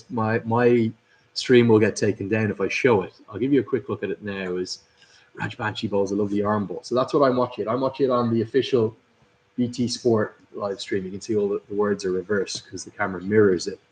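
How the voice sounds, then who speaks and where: A man's voice: on the low side, darkish tone, rough recording. A man hosting a live cricket watchalong, commentating and chatting through viewer comments. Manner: chatty, upbeat, reads out viewers' names and greetings. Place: at a cricket club.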